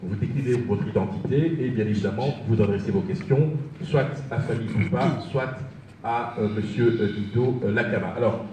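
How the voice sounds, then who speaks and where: A man's voice: fairly low pitch, normal speed. A man moderating a press conference in a courteous, wordy and formal way as he hands out the questions. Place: press conference room in Brussels. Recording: very echoey hall, distant, dull, faint noise.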